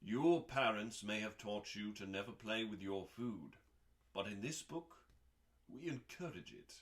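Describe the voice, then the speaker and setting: A man reading recipes aloud as a host, in a dramatic audiobook style with deliberate pauses and emphasis. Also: on the low side, animated.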